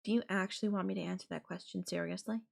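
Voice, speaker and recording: A woman's voice: mid-range voice, fairly even intonation, very fast, gravelly, a little mellow. A woman voicing a possessive yandere girlfriend in an audio roleplay, coaxing and teasing her captive with veiled threat. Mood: quietly threatening. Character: possessive yandere girlfriend, sweetly menacing captor. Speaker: voice actor.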